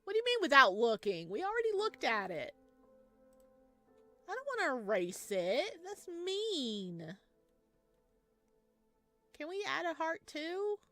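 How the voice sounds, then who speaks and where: A woman giving playful, excitable reaction commentary as she plays a visual novel. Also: sits high, slow pace, pauses often. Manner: excitable, joking. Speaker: let's-player reading a visual novel aloud. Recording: music behind the voice. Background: background music.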